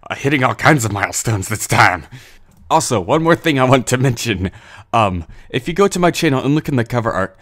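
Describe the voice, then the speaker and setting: A man's voice: mid-range voice, lively intonation, brisk, fairly bright tone. A man giving a casual, informal channel update as host, with jokey, goofy asides. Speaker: host of an animated fight series channel.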